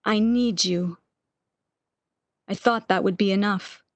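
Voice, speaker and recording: A woman's voice: mid-range voice, takes it slowly, no echo, natural voice. A woman acting in a radio play as an anxious, regretful, bedridden sick woman with a fretful, pleading manner.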